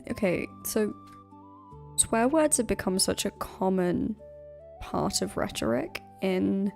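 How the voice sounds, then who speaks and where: British woman, relaxed stream co-host, creaky voice, soft music bed. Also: medium pitch, slow. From United Kingdom. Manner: chatty, laughs often, lots of ums. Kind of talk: casual livestream chat.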